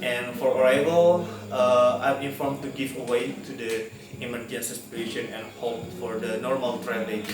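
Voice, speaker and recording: A man with an Indonesian accent speaking halting non-native English, explaining air traffic control procedures step by step as in a spoken test practice. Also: mid-range voice, steady tone. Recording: very echoey room, distant mic, music behind.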